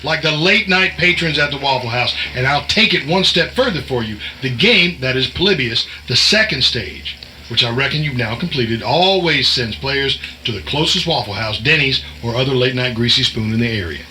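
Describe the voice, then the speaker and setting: A man's voice: medium pitch, expressive, moderate pace, sharp tone, a little hiss or hum, low-quality recording. A man playing a folksy, wisecracking secret agent posing as a night cook, a glib and sardonic explainer, in an audio drama. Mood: confident, folksy.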